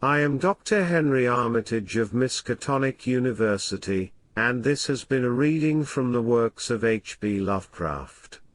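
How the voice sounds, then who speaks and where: A man playing a learned, formal professor who narrates literary horror in a grave, measured manner. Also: mid-range voice, expressive, natural voice. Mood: formal, courteous. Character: learned male professor reading weird tales, grave and formal. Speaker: narrator and host.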